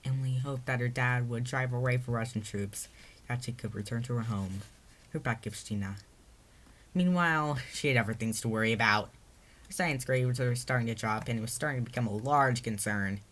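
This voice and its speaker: Storybook narrator, reading own story aloud, bright and forceful, mid-pitched. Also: fairly quick.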